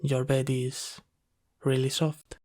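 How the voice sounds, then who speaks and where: A man playing a gentle, apologetic sleep paralysis demon in a soft, hesitant, reassuring ASMR roleplay. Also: medium pitch, flat delivery, natural voice. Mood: tender, reassuring. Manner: soft-spoken, hesitant, reassuring, pausing often.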